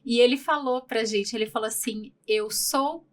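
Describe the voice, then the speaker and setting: A woman's voice: fairly high pitch, moderate pace. A woman in her forties with a Brazilian accent, hosting a casual conversation and telling stories with quoted asides. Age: 40s. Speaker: podcast host.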